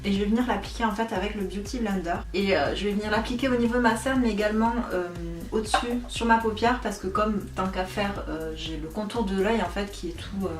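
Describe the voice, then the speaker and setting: A woman's voice: mid-range voice, fairly even intonation, a little fast, few pauses. Chatty young woman in an echoey room. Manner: chatty, self-mocking irony, frequent fillers. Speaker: beauty vlogger. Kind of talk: casual makeup tutorial. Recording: echoey room, voice a bit far from mic, faint music.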